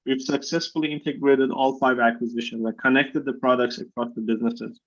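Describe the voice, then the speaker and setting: Male CEO hosting an earnings call. Medium pitch, formal scripted delivery, dull lo-fi call sound. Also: fairly even intonation, brisk. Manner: formal, reading prepared remarks. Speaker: chief executive hosting the call. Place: video conference call. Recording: dull, lo-fi conference call audio.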